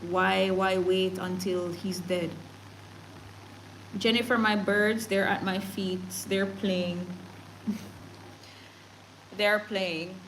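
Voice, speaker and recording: A woman's voice: medium pitch, fairly even intonation, very slow, long pauses, slight background noise, poor audio. A woman from Jamaica with a Jamaican accent, hosting a casual livestream, chatting with viewers and speaking emphatically, often laughing and slipping into patois. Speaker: livestream news host. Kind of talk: casual livestream chat reading comments and news.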